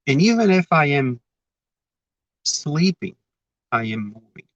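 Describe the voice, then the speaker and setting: A man's voice: medium pitch, a bit bright, no echo, dull audio. A man from Brazil with a Brazilian Portuguese accent, giving a reflective, digressive spiritual talk as a spiritist speaker. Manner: digressive, thoughtful, self-aware about repeating himself.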